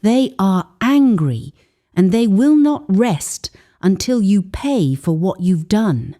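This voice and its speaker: A woman voicing a solemn old male herbalist in a folktale, gravely admonishing. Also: mid-range voice, big pitch swings, slow, warm tone. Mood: fearful, grave.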